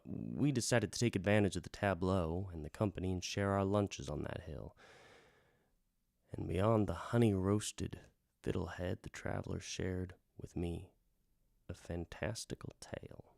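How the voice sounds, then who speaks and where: A low-voiced man narrates like a wandering bard in a radio drama. His delivery is unhurried and theatrical, with folksy, florid phrasing that drops into hushed emphasis. The voice has a gravelly fry and sounds close and dry. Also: no echo. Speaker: host, storytelling bard. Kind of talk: theatrical first-person fantasy storytelling, radio drama.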